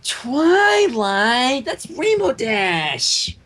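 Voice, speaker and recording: A playful pink cartoon pony mare, acted out by a man. The voice is high and husky, with big swings in pitch. The manner is bubbly and quick to exasperation, suited to a storybook reading. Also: huge pitch swings, pushed and bright, full character voice. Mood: exasperated, coaxing.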